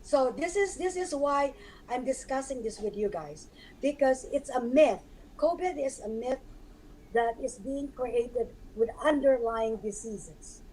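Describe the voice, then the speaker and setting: A hoarse woman speaks earnestly in a fairly high but dark, mellow voice, often restarting her sentences as she explains. Faint noise hums under the live-stream audio. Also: expressive, unhurried, poor audio. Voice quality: hoarse, scarred voice. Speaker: guest.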